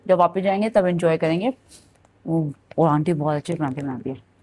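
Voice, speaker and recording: A woman in her thirties from India, with a Punjabi lilt, talks casually as she vlogs her day at home. Her voice is hoarse and a little worn, and she drops English phrases in mid-sentence. The sound is a relaxed home kitchen recording. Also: sits low, a little fast, a little hiss or hum. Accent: Punjabi-tinged Hindi. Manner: chatty, code-switches into English. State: hoarse throat. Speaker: vlogger. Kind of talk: casual vlog narration.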